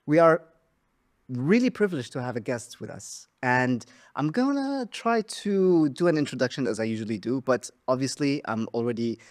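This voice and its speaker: A man hosting a podcast interview, thankful and self-deprecating as he introduces his guest. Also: mid-range voice. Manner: warm, grateful, self-deprecating. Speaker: podcast host.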